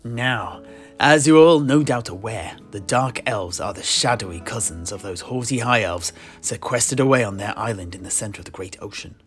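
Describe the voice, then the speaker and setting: A man playing a scholarly, genial tavern keeper in a fantasy audio play, telling history in a wordy, theatrical way with deliberate pauses. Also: lowish voice, expressive, close to an everyday voice. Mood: measured, storytelling. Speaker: narrator and host of an in-universe audio play. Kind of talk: scripted in-character fantasy storytelling. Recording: plucked guitar music behind the voice. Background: plucked guitar music.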